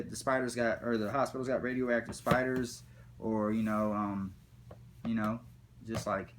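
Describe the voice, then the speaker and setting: Slangy, rambling man over background noise. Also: fairly low pitch. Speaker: storyteller. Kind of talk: rambling casual comic storytelling.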